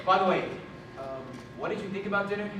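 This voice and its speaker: Actor doing an eager awkward young dad in a stage comedy, far from mic, noisy echoey room. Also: male voice, high voice, fast talker, lightly acted. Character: eager, awkward new father hosting dinner.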